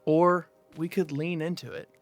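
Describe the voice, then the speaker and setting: A man playing a calm, curious young housemate in a naturalistic audio drama, speaking in a measured, casual way. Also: on the high side, no room sound, lightly acted. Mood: thoughtful, calm. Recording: music under the dialogue. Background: music.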